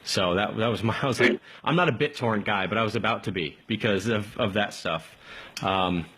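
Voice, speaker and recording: A man hosting a casual live call-in tech stream, rambling along in an informal, enthusiastic way with a slightly husky voice. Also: lowish voice, a little fast, fairly bright tone. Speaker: live tech show host.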